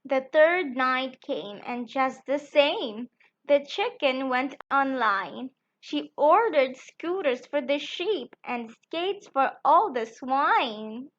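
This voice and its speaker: A woman with a high voice reads a children's picture book aloud at an unhurried pace. Her delivery is sing-song and deliberate, made for young listeners. The recording is somewhat dull and lo-fi. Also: lively intonation, a bit bright. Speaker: children's storytime channel host.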